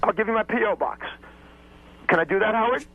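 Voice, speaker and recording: A man's voice: high-pitched, quick pace, highs cut off. A man calling in to a radio show, insistent and combative, repeating himself in heated banter. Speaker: radio call-in guest.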